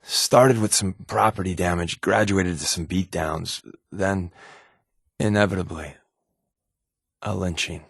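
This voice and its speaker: A man's voice: low-pitched, measured pace, vocal fry, pauses often, breathy edge, no room sound, studio quality, full character voice. A man playing an easygoing, wisecracking secret agent with hidden guilt in a video game, talking in a wry, casual, conversational way. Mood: somber confession. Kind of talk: video game companion dialogue.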